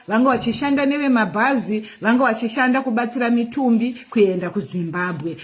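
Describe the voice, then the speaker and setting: A woman from Zimbabwe with a Zimbabwean accent, a native Shona speaker, talking earnestly to camera as a vlogger, with repeated phrases and many fillers, sliding into preaching. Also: on the high side. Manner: earnest, measured, repetitive phrasing with frequent fillers. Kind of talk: casual direct-to-camera talk turning to preaching.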